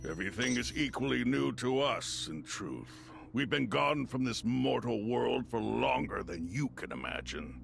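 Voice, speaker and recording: A fussy, theatrical ancient being, extremely creaky and swinging widely in pitch. The florid game-character delivery sits over soft music. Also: male voice, animated, a little slow, few pauses, heavily acted. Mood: weary, formal. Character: ancient male being, dramatic, fussy, indignant. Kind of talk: game voice acting.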